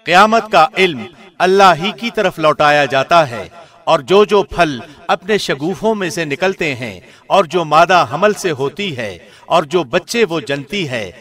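A man reading a scripture translation in a formal, measured radio presenter's style. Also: fairly high pitch, fairly bright tone.

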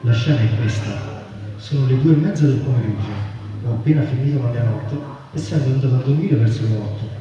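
A man's voice: mid-range voice, fairly quick, music in the background. A man giving a literary reading aloud at a public event. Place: large echoey venue at a public festival. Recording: dull, distant, very echoey, background noise.

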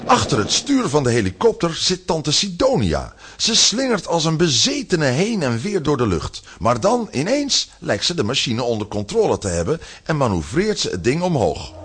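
A man's voice: fairly high pitch, wildly swooping pitch, normal speed, noisy background. A man giving dramatic scripted narration as a storyteller.

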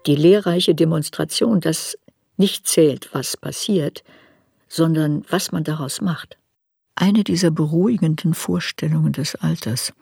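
An actress playing an older woman writer who soberly looks back on her youth in a measured, reflective radio drama narration. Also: low voice, vocal fry, a little mellow, husky, no echo, clean studio sound, heavily acted. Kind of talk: literary radio drama narration in first person.

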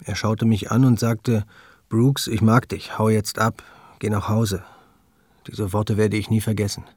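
A man voicing a former student's eyewitness account as a measured German documentary voice-over. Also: on the low side, flat delivery, darkish tone, husky, no room sound. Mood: grave recollection. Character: male American former student recalling a traumatic shooting.

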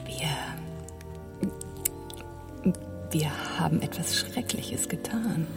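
A woman's voice: low voice, a little slow, vocal fry, in a whisper. Serious fugitive lady, direct and uneasy, music behind, radio play. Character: Rachel, direct, uneasy woman on the run. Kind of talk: German radio play acting.

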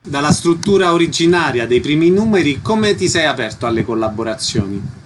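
A male radio host with a fairly high voice presents and asks prepared interview questions. The recording carries clear noise and clicks. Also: lively intonation, brisk.

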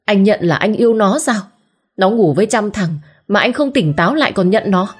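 A woman's voice: mid-range voice, animated, normal speed, soft background music, pitched above the performer's own voice, lightly acted. A woman voicing a pregnant young wife who wails theatrically and complains shrilly. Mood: enraged.

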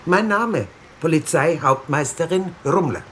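An actress playing an older, dry and blunt police sergeant with a husky voice in a radio drama. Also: female voice, low-pitched, animated, measured pace, slight background noise. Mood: dry, probing.

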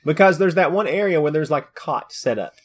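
A man's voice: on the high side, lively intonation, a little fast. A man co-hosting a podcast, chatting casually and rambling with many fillers. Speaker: podcast co-host. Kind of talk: casual chat.

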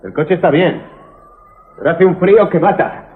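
A man's voice: high-pitched, animated, moderate pace, slightly rough. An actor playing a reassuring, stubbornly hopeful father and husband in a radio drama, with an accent from Spain. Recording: noisy narrow-band old radio recording.

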